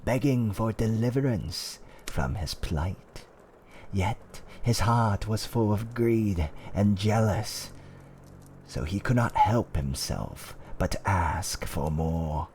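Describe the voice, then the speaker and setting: Guy doing an older fantasy tavern owner, slow n lowish voice, theatrical dark fireside asmr story, some background noise. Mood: dark, suspenseful. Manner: theatrical, archaic wording, drawn-out suspenseful delivery.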